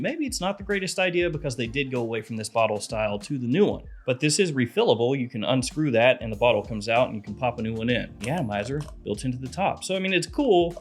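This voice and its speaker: A man in his thirties with a head cold, chatting animatedly as he reviews fragrances in a small room. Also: medium pitch. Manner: chatty, animated, gesturing with hands. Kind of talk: casual video review. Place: small room with lit shelves of bottles.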